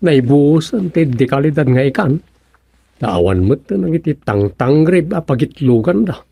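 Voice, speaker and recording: An actor playing an eager fisherman's son with a husky voice and an Ilocano accent in a radio drama comedy. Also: on the high side, expressive, unhurried, warm tone, full character voice. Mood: eager, explaining.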